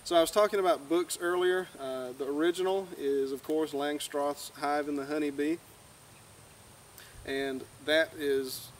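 A man's voice: fairly high pitch, fairly even intonation, unhurried. A young man giving an informal, plain-spoken, folksy instructional talk to camera outdoors. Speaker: beekeeper giving a tutorial. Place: outdoors in a grassy clearing by woods. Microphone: far camera. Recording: distant camera mic, outdoor background noise.

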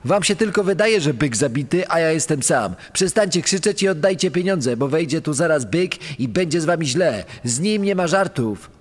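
Male actor as armed robber, menacing, forceful, higher-pitched, long reverb, audio drama. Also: animated, normal speed. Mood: threatening. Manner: threatening, forceful. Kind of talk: dramatised true crime reenactment.

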